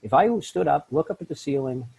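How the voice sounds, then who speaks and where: A middle-aged man, a life coach, telling his story in an interview, often jumping into lively quoted voices. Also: a little fast, creaky, breathy edge, rough recording. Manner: acts out quoted voices with big pitch jumps. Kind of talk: animated storytelling in a podcast interview.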